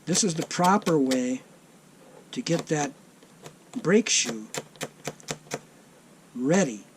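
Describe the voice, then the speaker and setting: Slow, plainspoken man demonstrating repairs. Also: on the high side, slight background noise. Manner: slow, plainspoken, lots of ums and uhs. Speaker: tape deck repair technician. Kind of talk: workshop demonstration.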